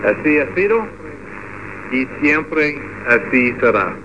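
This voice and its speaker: Man speaking halting, Spanish. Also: on the high side, very animated. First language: English. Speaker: radio pastor. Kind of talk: church ceremony speech. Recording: muffled with background noise.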